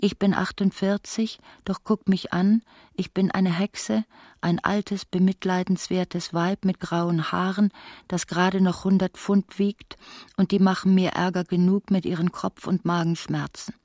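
A middle-aged woman locked in a cell, in an intimate radio drama. Her voice sits fairly low, with a very dry studio sound. She speaks with theatrical tenderness and wry self-mockery. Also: level tone, unhurried, a little mellow, no room sound. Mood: wry, tender. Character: imprisoned ailing woman of forty-eight, tender, self-mocking, longing. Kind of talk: intimate radio play acting.

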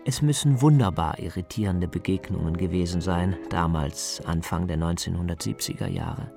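A man's voice: low-pitched, moderate pace, gravelly, over music. A man narrating a radio documentary from a script, as its author telling the story.

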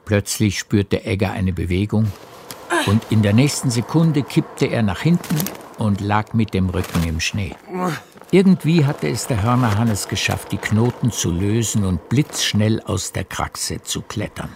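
Deep, creaky male literary narrator. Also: expressive, noisy background. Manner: measured storytelling. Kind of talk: literary scripted narration for radio play.

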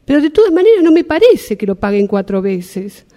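A woman's voice: high-pitched, very animated, brisk, dark tone, long reverb tail. A woman with an Argentine accent playing a harried, insistent customer in an improvised radio theatre exercise. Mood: indignant, upset. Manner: insistent, repetitive. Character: harried, pleading, indignant woman queuing over a gas bill.

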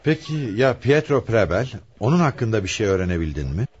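An actor playing a commanding police commissioner in a radio play, authoritative, deliberate and probing. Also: male voice, on the low side, big pitch swings, dull audio, a little hiss or hum. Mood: probing, intrigued. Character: commanding male police commissioner leading a murder investigation. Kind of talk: radio theatre acting.

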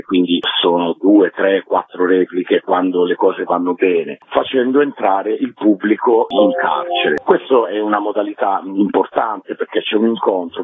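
Low-voiced husky man on a phone line. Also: no room sound. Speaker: theatre director, interview guest. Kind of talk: explanatory phone interview. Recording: muffled narrow telephone line on radio.

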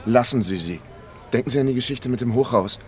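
A man's voice: mid-range voice, a little fast, natural voice. A man playing a wry, cool fixer who talks briefly and with understatement in a radio crime drama. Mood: resigned, dry.

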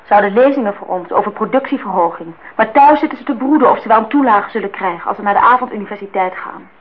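A woman's voice: mid-range voice, quick pace, lightly acted. A woman acting in a radio play as a defiant, idealistic young student, earnest and outspoken. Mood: defiant, scornful. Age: young adult. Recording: dull, noisy old radio recording.

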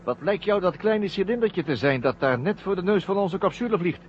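An actor playing a cautious, duty-minded astronaut co-pilot, practical and wary, in an old radio drama. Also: male voice, high-pitched, animated, fairly quick. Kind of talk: 1970 Dutch radio drama acting. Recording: dull, faintly noisy old radio recording.

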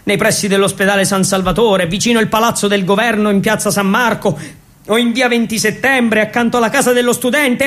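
Casting: male stage storyteller, high-pitched voice, theatrical and ironic delivery of a narrative monologue. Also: fairly bright tone. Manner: theatrical, with deliberate repetitions and ironic asides. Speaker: actor and storyteller.

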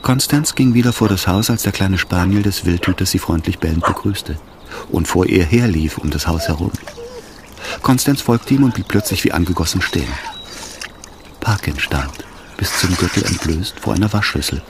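A man narrating a literary radio drama in a measured, solemn manner. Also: deep voice, noisy background. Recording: orchestral music behind the voice.

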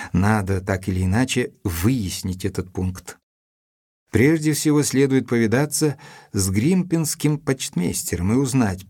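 Thoughtful gentleman sleuth narrating an audiobook drama, measured, husky, very dry sound, faint music. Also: mid-range voice, no echo. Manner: measured, deliberate. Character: thoughtful gentleman investigator recounting a mystery. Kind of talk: dramatized first-person literary narration.

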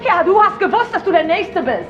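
Bitter scornful woman in a radio play, super high voice, muffled, music behind. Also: big pitch swings, brisk. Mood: bitter accusation. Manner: accusatory, scornful. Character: embittered grieving woman, hostile and contemptuous. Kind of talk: radio drama acting.

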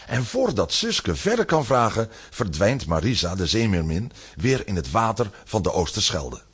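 A man narrates a children's story from a script. His voice is deep and very husky, moving expressively through the tale. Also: sing-song, moderate pace.

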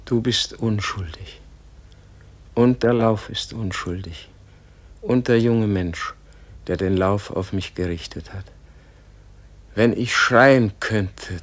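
Dying old holy man, low voice, very slow and halting, gentle, resigned, husky, radio drama. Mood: forgiving. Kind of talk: radio play acting.

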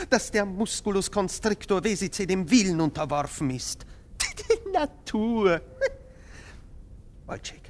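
An actor playing a pompous, excitable doctor in a German radio play, theatrical, lurching between whispers and outbursts. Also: male voice, high voice, slightly rough, heavily acted. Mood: outraged. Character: pompous, excitable male doctor obsessed with experiments.

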